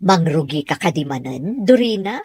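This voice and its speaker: Woman playing a husky, expressive worried mother. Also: low voice, moderate pace, no room sound, studio quality, exaggerated voice. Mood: gentle, weary. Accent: Filipino, Ilocano. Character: caring, worried middle-aged Ilocano mother. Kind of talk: audio soap drama acting.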